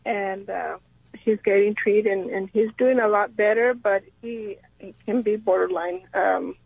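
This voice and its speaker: A woman's voice: medium pitch, steady tone, unhurried, gravelly, husky, dry recording. A middle-aged woman from Texas calling in to a radio show, worried and hesitant, with many fillers. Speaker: caller. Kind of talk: call-in conversation. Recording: tinny phone line.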